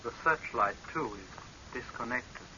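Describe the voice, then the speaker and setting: An actor playing a young man who is coldly vengeful, deliberate and mocking, in old-time radio horror style. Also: mid-range voice, expressive, pushed and bright, exaggerated voice. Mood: calm menace. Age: young adult. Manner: deliberate, sardonic, menacingly composed. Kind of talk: 1930s-40s radio horror acting. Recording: muffled, hissy old-time radio recording.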